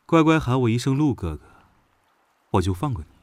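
A man's voice: medium pitch, big pitch swings, a little fast, no room sound, lightly acted. A man playing a playful, teasing Alpha general under thirty in a modern audio drama.

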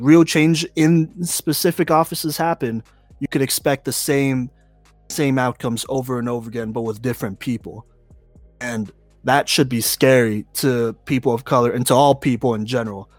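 An earnest man co-hosts a podcast in casual, fill-heavy speech. His voice sits at a middle pitch and is recorded dry and close. Faint music plays underneath. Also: dry recording. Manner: earnest, many "you know" fillers. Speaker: podcast co-host. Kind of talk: casual opinion talk.

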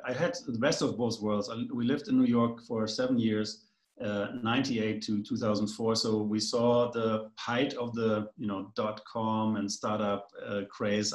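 A man's voice: medium pitch, a little mellow, reverberant. A man with a German accent, a guest in a casual conversation, talking in a rambling, hesitant way with many fillers.

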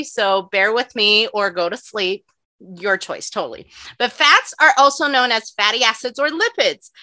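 Bright-voiced chatty woman, dry sound. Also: fairly high pitch, dry recording. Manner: chatty, lots of "like" and "you know"; laughs often. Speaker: podcast co-host. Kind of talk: casual podcast chat, explaining nutrition.

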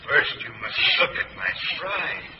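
An actor playing a taunting, menacing nightmare figure in 1940s radio horror, deliberate and mocking. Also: male voice, highs cut off, close to an everyday voice. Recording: distant, echoey, noisy old radio recording.

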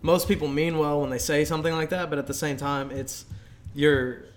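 A man's voice: a little high, steady tone, moderate pace. Casual man chatting over background noise. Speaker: podcast co-host. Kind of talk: casual conversational discussion.

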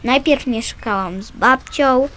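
A woman's voice: sits high, takes it slowly, fairly bright tone. A young female performer playing a stubborn, vulnerable orphaned little girl in a radio drama. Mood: guarded, sad. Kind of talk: Polish radio drama acting.

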